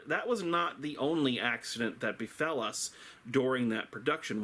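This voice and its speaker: A middle-aged man telling an anecdote like a drama teacher, theatrical and wry, with dramatic pauses and emphatic delivery. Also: medium pitch, sharp tone. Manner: self-deprecating, wry.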